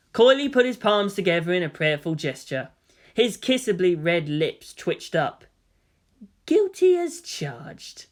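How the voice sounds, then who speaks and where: Expressive woman narrating a story. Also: on the low side. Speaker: fan fiction narrator.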